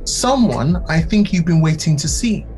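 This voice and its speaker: Woman doing a reluctant roman governor, lowish voice, audio play with faint music behind. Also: lively intonation, normal speed, a little mellow. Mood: deferential. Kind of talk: dramatic audio play acting.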